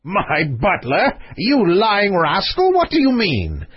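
Man putting on a high, blustering storybook king. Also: big pitch swings, brisk, breathy edge, muffled recording, heavily acted. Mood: outraged. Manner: blustering, imperious exclamations. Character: hot-tempered, pompous old king, easily enraged. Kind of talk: storybook character voice.

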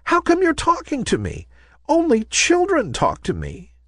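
A man's voice: medium pitch, huge pitch swings, normal speed, breathy edge, no echo, studio quality. A man voicing a tiny, earnest toy ballerina girl in a storybook, wistful and polite. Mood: scared, surprised.

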